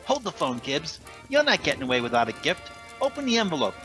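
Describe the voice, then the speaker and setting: A man's voice: on the high side, huge pitch swings, fast, music in the background. An actor playing a brash, wisecracking male Christmas elf in an old-time radio comedy.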